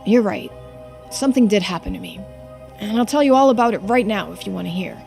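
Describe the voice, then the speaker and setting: A woman voicing an earnest, subdued young diver in a video game, speaking seriously and with quiet determination. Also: medium pitch, animated, a little fast, vocal fry, soft background music. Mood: earnest, confiding.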